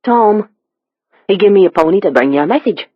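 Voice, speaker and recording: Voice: medium pitch, lively intonation, fairly quick, slightly rough, no echo, muffled recording, voice pushed up. A narrator voicing a small, wiry, suspicious Cockney man who talks in terse, guarded slang. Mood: suspicious, gruff. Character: small wiry suspicious Cockney male messenger, hard and sneering.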